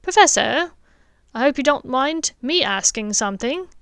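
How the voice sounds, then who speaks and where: Woman voicing an earnest nervous man, louder eager delivery, higher pitch, dry close sound. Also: animated, moderate pace, clear, resonant tone, no room sound. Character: earnest, nervous male dentist, middle-aged.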